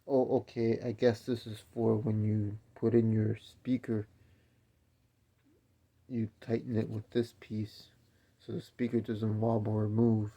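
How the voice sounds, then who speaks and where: A man's voice: lowish voice, little pitch movement, unhurried, mellow, slight background noise. A man casually walking through an unboxing of a speaker stand, explaining each part as he goes and correcting himself along the way. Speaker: DJ reviewing gear.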